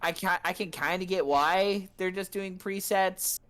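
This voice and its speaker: A young man co-hosting a casual gaming chat, animated and swearing as he rants. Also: high-pitched. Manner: animated, swears, quick bursts when ranting. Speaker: podcast co-host.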